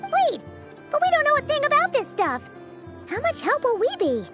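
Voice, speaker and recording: A woman voicing a small, excitable, girlish fairy companion in a video game, chatty, whiny and theatrical. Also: extremely high pitch, normal speed, soft background music, exaggerated voice. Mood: alarmed.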